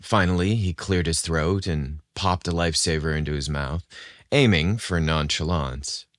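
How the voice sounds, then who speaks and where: Deep male narrator, scripted romance storytelling, dry close recording. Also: moderate pace, dry recording. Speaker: audio fiction narrator.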